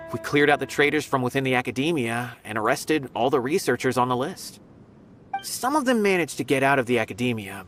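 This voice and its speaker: An earnest, animated young-sounding officer. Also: male voice, medium pitch. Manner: polite, storytelling. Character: earnest, courteous male law officer of an academy. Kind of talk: video game voice acting. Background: background music.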